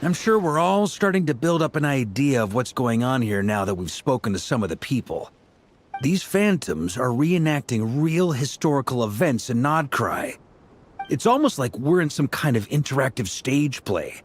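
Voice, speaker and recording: A man's voice: medium pitch, sing-song, moderate pace, a little hiss or hum, close to an everyday voice. A man playing an upbeat, playful young adventurer who reasons things out in a video game. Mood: explaining.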